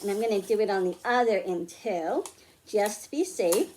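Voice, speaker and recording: A woman's voice: medium pitch, animated, normal speed, a little mellow, a little hiss or hum. A woman hosting a children's storytime, speaking in simple, encouraging terms to young kids, with sing-song emphasis when reading and explaining. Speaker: children's librarian and storytime host. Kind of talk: children's storytime and craft demonstration.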